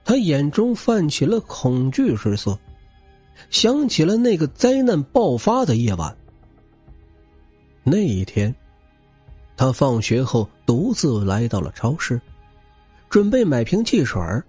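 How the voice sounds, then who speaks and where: A man reads an audiobook with very animated, dramatic delivery. His voice is husky and a little high for a man, with faint music behind it. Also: slow pace, pauses often, no echo. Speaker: audiobook narrator. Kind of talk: dramatic scripted narration.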